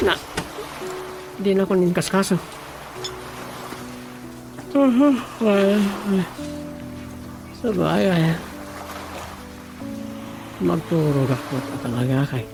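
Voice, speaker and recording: A man's voice: high voice, gravelly, exaggerated voice. A man with an Ilocano accent playing a folksy, chatty village elder in a radio comedy-drama. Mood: drowsy grumbling. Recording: music behind the voice.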